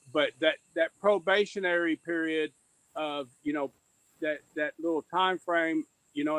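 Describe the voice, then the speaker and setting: Higher-voiced middle-aged man, candid guest. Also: a bit bright, no room sound, poor audio. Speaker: podcast guest, reentry advocate. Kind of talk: candid conversational interview answers.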